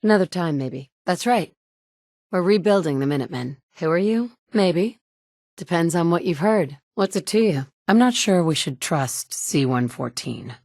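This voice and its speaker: A woman's voice: fairly low pitch, animated, normal speed, darkish tone, no room sound, clean studio sound. An actress voicing a resolute adult woman survivor in a video game, firm and direct with a sarcastic edge. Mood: determined. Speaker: player character. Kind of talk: game voice acting, dialogue lines.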